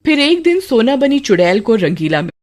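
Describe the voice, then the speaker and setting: A woman's voice: a little high, very animated, a little fast, a little mellow. A woman storyteller giving scripted narration of a horror tale.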